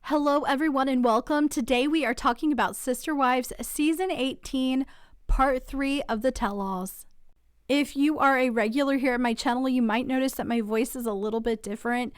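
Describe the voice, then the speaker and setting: A woman with a slightly high, smooth, resonant voice hosts a casual recap channel while getting over a cold. She talks in a chatty, opinionated way and asks viewers to weigh in. The recording is close and dry. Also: moderate pace, dry recording.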